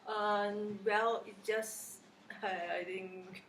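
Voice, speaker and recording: A woman's voice: mid-range voice, little pitch movement, drawn-out words, a bit bright. A woman with a Malaysian accent giving casual interview answers as a college lecturer, often chuckling between phrases. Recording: roomy echo, background noise.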